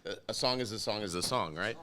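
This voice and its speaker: A man's voice: fairly low pitch, fast, sharp tone. A man co-hosting a casual talk show in a car service center. He needles the host with contrarian, offbeat arguments. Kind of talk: casual banter.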